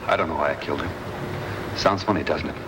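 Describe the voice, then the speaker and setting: A man's voice: very deep voice, brisk, echoey room, big-room sound. An actor playing an evasive, defensive male murder suspect in a 1950s radio drama. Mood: defeated. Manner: evasive, defensive, then flat confession. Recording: hissy old radio broadcast.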